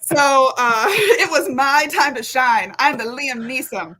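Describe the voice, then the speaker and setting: A woman with a bright, forceful voice, a little higher than most, talking as a guest in a casual interview. She is talkative and energetic, with frequent laughs. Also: takes it slowly, rough recording. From Washington state.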